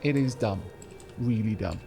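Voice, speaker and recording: A man hosting a let's play, chatting casually and explaining game mechanics with a slight rumble in his voice. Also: measured pace, vocal fry, dark tone, faint music behind. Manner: chatty, explanatory, frequent restarts and fillers. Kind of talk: casual gameplay commentary.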